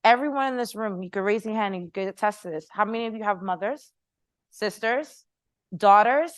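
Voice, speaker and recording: A woman's voice: medium pitch, a little fast, no room sound, studio quality. A middle-aged Haitian American woman with an American accent, a startup founder speaking persuasively on a conference panel. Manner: passionate, persuasive, audience-engaging. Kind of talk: panel discussion answer. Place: conference room with audience.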